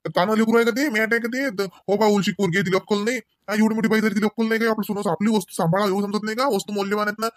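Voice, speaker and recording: Cartoon husband played by a man: exasperated, slightly high voice, Vidarbha Marathi accent, dry recording. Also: fairly even intonation, very fast, few pauses, no room sound, lightly acted. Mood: indignant. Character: exasperated young husband venting to his sister-in-law. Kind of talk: cartoon dialogue in rural dialect.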